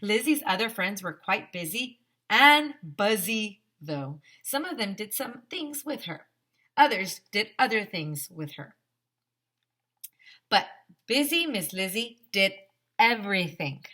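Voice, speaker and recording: Slow, bright-voiced woman reading to children. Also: medium pitch. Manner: expressive, exaggerated emphasis, addresses children directly. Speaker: teacher reading a picture book aloud to children. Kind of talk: animated storybook read-aloud with asides and questions to young listeners.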